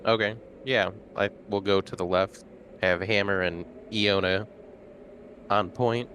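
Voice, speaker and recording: A man's voice: on the low side, steady tone, a little slow, a bit bright, a little hiss or hum. A man playing in a tabletop roleplaying game, talking casually and thinking aloud with some hesitation. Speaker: player.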